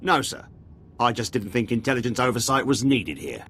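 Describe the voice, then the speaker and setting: An actor playing a loyal, defensive army captain in a video game, with a clipped military manner. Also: male voice, mid-range voice, expressive, normal speed, a bit bright, husky. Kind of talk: dramatic video game voice acting.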